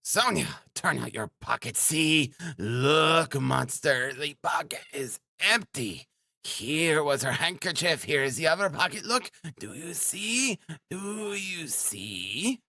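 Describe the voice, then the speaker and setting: A man voicing a frantic, defiant, impoverished widow in an audiobook, wailing and fiercely protective with drawn-out dramatic cries. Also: on the high side, lively intonation, creaky, bright, forceful tone, no echo, pitched above the performer's own voice, full character voice. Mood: triumphant, frantic. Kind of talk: dramatic audiobook character acting.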